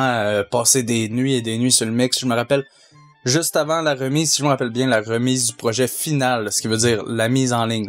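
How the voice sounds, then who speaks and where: A young man with a Québécois accent explains his sound work in a steady, hesitant way, pausing on fillers. His voice sits at an ordinary pitch for a man. Faint music plays behind him. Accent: Québécois French. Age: young adult. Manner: measured, hesitant with many fillers. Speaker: sound mixer. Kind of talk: casual Q&A answers.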